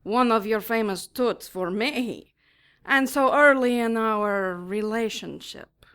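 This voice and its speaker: An actress playing a taunting, smugly sadistic female demon in an audio drama, making mock-polite threats with deliberate menace. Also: on the high side, fairly bright tone, clean tone.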